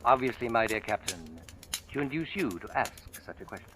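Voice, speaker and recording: A man playing a shrewd, mocking, sinister accuser in a vintage radio drama, speaking in a suave, deliberate, taunting way. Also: on the low side, lively intonation, lightly acted. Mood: cool accusing. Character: shrewd, mocking male accuser, secretly a sinister returned spirit. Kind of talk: 1940s-50s radio drama acting. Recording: noisy, crackly vintage radio recording.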